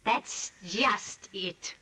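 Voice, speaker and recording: An actress playing a proud, precise, vengeful prima ballerina in a theatrical 1940s radio style. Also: female voice, mid-range voice, very slow, pushed and bright, husky, heavily acted. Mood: bitter, vengeful. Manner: clipped, controlled, turning icy and intense. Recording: distant-miked 1940s radio broadcast.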